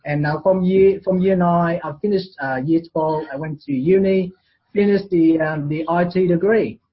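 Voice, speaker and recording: A man in his forties with a Vietnamese accent, chatting as a guest in a hesitant way full of fillers. His voice is husky and sits a little high. The recording sounds dull and lo-fi. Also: moderate pace. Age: 40s. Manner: hesitant, lots of fillers and restarts. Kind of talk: casual interview.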